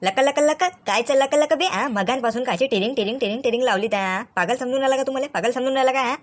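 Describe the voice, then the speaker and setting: A woman's voice: high-pitched, fast, natural voice. A woman voicing a hot-tempered, threatening male tuition teacher in a comic cartoon, with a Vidarbha Marathi accent and scolding, repeated questions. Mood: furious.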